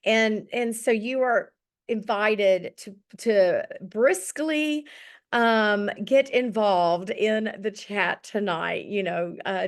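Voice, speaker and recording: A middle-aged woman with a slightly scratchy voice, leading an online journaling session in a chatty, encouraging way over a video call. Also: on the high side. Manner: chatty and encouraging, with many ums. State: slightly scratchy voice after illness. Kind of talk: casual online group session.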